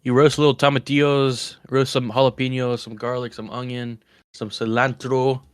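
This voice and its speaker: A man's voice: medium pitch, level tone, normal speed, clear, resonant tone, dry recording. Male co-host, chatty and rambling, dry studio sound. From West Virginia. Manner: rambling, enthusiastic food talk with restarts. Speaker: podcast co-host. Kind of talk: casual chat.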